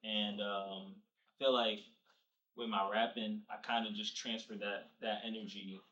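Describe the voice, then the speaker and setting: A man's voice: lowish voice, flat delivery, measured pace, pushed and bright, highs cut off. Laid-back young man, distant echoey mic. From Nigeria, raised in New Jersey. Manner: laid-back, slangy, laughs easily. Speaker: rapper guest. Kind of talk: casual interview chat. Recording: distant mic, roomy echo.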